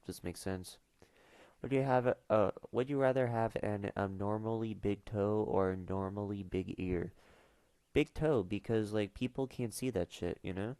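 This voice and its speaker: A man's voice: lowish voice, moderate pace, dark tone, smooth, no room sound. A teenage boy streaming casually, mumbling and trailing off with fillers as he reads questions aloud. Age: teens. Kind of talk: casual livestream chat.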